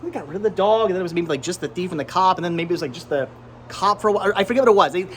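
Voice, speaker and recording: A middle-aged American man with a slightly high voice talks off the cuff, rambling and doubling back on his words with nostalgic enthusiasm. It is a casual vlog, with faint ambient noise behind him. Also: animated, very fast. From Pennsylvania, United States. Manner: enthusiastic, nostalgic, stammering repeats. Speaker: host of a thrifting vlog. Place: flea market, among vendor stands. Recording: clear background noise, flea market ambience.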